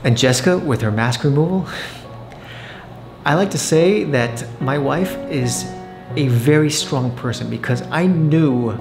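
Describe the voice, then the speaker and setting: A middle-aged man and father narrating a family travel vlog in a relaxed, rambling way with restarts. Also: fairly high pitch, a little slow, music in the background.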